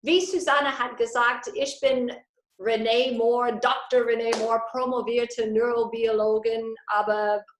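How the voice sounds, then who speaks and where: A woman's voice: fairly high pitch, level tone, a little slow, echoey room, slight background noise, poor audio. American woman coaching in learner's German. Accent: American English. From Texas, USA. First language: English. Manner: enthusiastic, mixes English into imperfect German. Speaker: business coach. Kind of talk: live webinar presentation. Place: her home, indoor.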